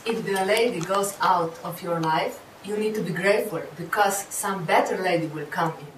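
A woman's voice: lowish voice, normal speed, slight background noise. Woman interpreting from the back of an echoey room. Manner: translates in short phrases. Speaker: interpreter in the audience.

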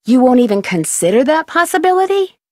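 An actress playing a polite, persistent young amateur detective in a video game, speaking earnestly and precisely. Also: female voice, sits high, big pitch swings, a little fast, dry recording, clean studio sound. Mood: questioning. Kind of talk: video game character dialogue.

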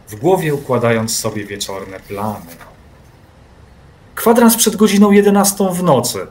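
A man's voice: mid-range voice, a little hiss or hum. A man reading scripted literary narration as the narrator of an audio drama.

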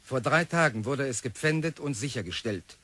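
A formal news report in an old radio play, precise and impersonal. His pitch is average for a man. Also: expressive, normal speed, fairly bright tone, breathy edge. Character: formal male newspaper voice, crisp.

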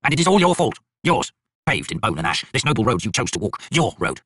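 A man voicing an arrogant, bitter tyrant High King with a raspy, theatrical, scornful delivery in an audiobook. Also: sing-song, fast, fairly bright tone, no echo, studio quality. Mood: bitter, manic.

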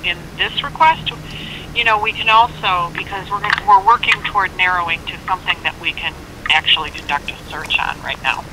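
An American government records officer explaining things informally and haltingly over a phone speaker, in a helpful way. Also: mid-range voice. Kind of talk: casual explanatory phone conversation. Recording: noisy speakerphone call picked up outdoors.

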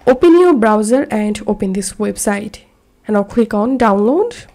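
Slow, mellow woman giving tutorial steps. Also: medium pitch, animated. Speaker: software tutorial presenter. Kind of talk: step-by-step tutorial narration.

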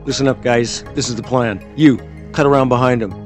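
A man's voice: mid-range voice, fairly even intonation, fairly quick, pitched above the performer's own voice, natural voice. A bossy cartoon lobster captain, played by a man, giving clipped, commanding orders to the squad. The voice is pushed up from the performer's usual talk, with music behind it.

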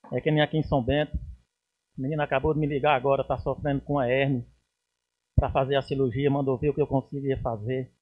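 A man's voice: on the high side, a little mellow, husky, poor audio. A man in his forties with a Pernambuco accent, giving an indignant speech at a council podium mic, rising to emphatic bursts. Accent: Pernambuco Portuguese. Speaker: city councilman. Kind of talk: plenary speech. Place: council chamber, indoors. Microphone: podium gooseneck mic.

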